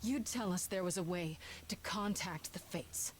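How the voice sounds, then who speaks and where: An actress playing a blunt, defiant young heroine in a dramatic video game scene. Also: female voice, fairly low pitch, measured pace, a little hiss or hum, close to an everyday voice. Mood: confronting.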